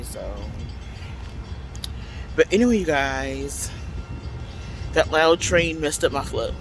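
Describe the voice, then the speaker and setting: A man chatting casually in a rambling vlog, talking loudly into a handheld phone outdoors at a transit stop. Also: a little high. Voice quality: loud voice. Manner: rambling, chatty, full of fillers. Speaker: vlogger. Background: faint city traffic noise.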